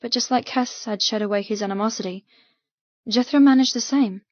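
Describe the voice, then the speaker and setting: A woman's voice: a little high, a little fast, darkish tone, no echo, muffled recording. A woman narrating an audiobook novel in the first person, with an intense, emotive, dramatic delivery.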